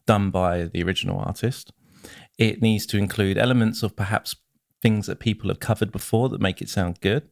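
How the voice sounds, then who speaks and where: A man co-hosting a casual podcast, a working band musician who explains things in a relaxed way with dry teasing. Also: on the low side, fairly quick, vocal fry, dry recording.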